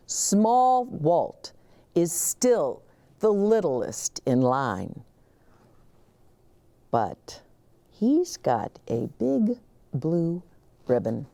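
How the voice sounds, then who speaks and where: A woman reads a picture book to young listeners with drama and expression. She takes her time, speaking very slowly with animated pitch, in a dry, close recording. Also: gravelly, no echo. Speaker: children's story reader.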